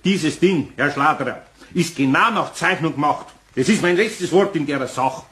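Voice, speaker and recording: An actor playing a grumbling but good-hearted older master carpenter in a children's radio play, folksy and plain-spoken, with a Bavarian accent. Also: male voice, a little high, moderate pace, a bit bright, close to an everyday voice. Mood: exasperated, stubborn.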